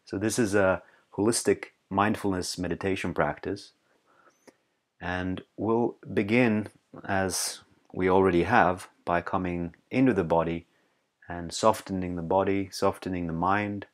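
A man leading a guided meditation as a teacher, calm and unhurried, pausing between short, soothing phrases. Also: deep voice.

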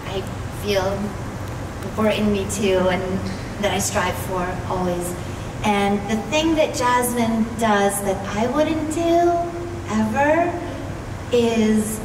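Woman on a panel talking slowly w pauses, big noisy hall. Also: mid-range voice. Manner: measured, with pauses between phrases. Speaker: panelist, voice actress. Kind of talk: live stage panel talk. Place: large convention hall stage, recorded from the audience. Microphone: audience camera far from the stage PA. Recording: echoey hall from far off, noisy.

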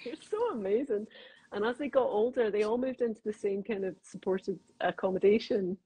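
A middle-aged Scottish woman with a Glaswegian accent, talking quickly and telling stories in a casual interview over a video call. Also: medium pitch, lively intonation, dark tone, husky, low-quality recording. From Scotland. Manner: chatty storyteller, quick, laughs often. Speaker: crime novelist guest.

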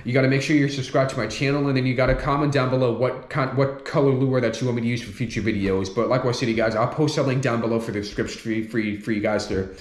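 Young man, casual fishing vlog host, rambling fillers, fast pace, echoey home room. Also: mid-range voice, fairly even intonation. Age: young adult. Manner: rambling, repeats "you guys" and "you know" constantly. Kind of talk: casual lure review vlog. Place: home room with large window, indoors. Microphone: camera on desk facing him.

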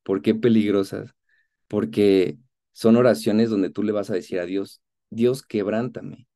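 A man of about thirty with a Mexican accent, giving an earnest, conversational personal testimony in a halting way over a video call. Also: fairly low pitch, fairly even intonation, warm tone. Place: indoor room at home, on a video call.

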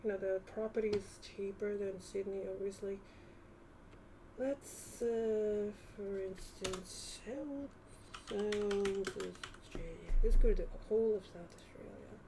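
A woman's voice: medium pitch, fairly even intonation, slow pace, background noise. A woman vlogger thinking aloud casually in a rambling, hesitant way as she browses on screen.

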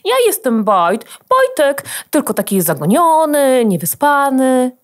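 A woman's voice: sits high, sing-song, measured pace. A woman voicing a sweet, cutesy, sly little byte of data in a children's audio drama, playful and coaxing.

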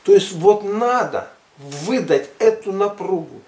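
Man in his sixties, fairly high voice, casual monologue, emphatic halting delivery, roomy echo. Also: lively intonation, darkish tone. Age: 60s. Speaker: health and fitness vlogger. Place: furnished living room at home, indoors. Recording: echoey room, camera at a distance.

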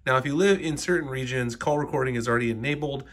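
A man's voice: medium pitch, fast. An American man hosting a casual step-by-step tech tutorial in a conversational, explanatory way. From United States. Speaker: tech reviewer, tutorial host.

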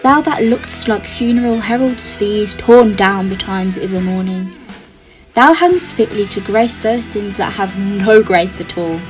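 A woman's voice: medium pitch, measured pace, close to an everyday voice, rough recording. Woman as ominous narrator over plucked guitar. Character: dark, sardonic narrator of night-time sins. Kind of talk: Jacobean verse audio drama narration. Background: plucked guitar music.

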